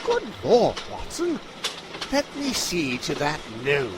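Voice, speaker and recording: A man's voice: fairly high pitch, huge pitch swings, takes it slowly, background noise, heavily acted. A man voicing an eccentric, showy detective in a comedic audio drama, speaking in a plummy, theatrical manner. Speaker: voice actor in a fan audio drama.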